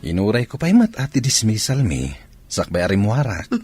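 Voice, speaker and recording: Man as a husky, exasperated husband. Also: lowish voice, big pitch swings, moderate pace, a little mellow. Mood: exasperated, defensive. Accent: Ilocano. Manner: defensive, complaining. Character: evasive, exasperated adult son and husband. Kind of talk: Ilocano radio drama acting.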